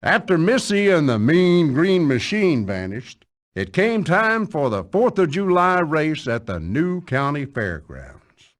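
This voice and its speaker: A man's voice: a little high, sing-song, slow. A man playing a wry, folksy country narrator with an American Southern accent.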